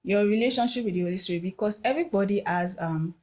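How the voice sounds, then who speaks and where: A woman's voice: mid-range voice, fast, a little mellow. A woman speaking in a casual podcast discussion, thoughtful and hesitant, with many "um"s.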